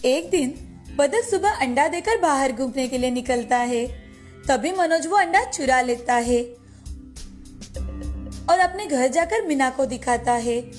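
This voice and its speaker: A woman storyteller reads a scripted moral tale for children. Her voice sits high, and soft music plays behind the narration. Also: lively intonation, a little slow, long pauses. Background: soft background music.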